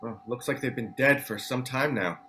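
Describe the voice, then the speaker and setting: A man's voice: medium pitch, normal speed, bright, forceful tone, slight background noise, close to an everyday voice. A man at a table read playing a practical, worried man stranded after a crash. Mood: grave, concerned. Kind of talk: table read of a TV pilot script.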